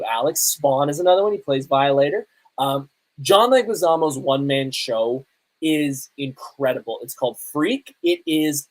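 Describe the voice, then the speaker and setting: Animated woman hosting a casual podcast. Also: extremely low pitch, rough recording. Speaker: podcast host. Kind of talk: casual live podcast commentary.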